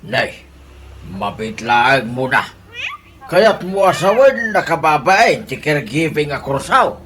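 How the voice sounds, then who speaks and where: A man's voice: on the high side, big pitch swings, slow pace, fairly bright tone, a little hiss or hum, exaggerated voice. An actor with an Ilocano Filipino accent playing an encouraging, protective middle-aged father in a radio drama. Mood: offended.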